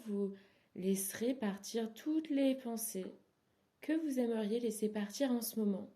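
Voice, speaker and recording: A woman guiding a yoga nidra relaxation as an instructor, speaking softly and soothingly in short, unhurried phrases that sometimes trail into breathiness. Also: mid-range voice. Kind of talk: guided relaxation meditation.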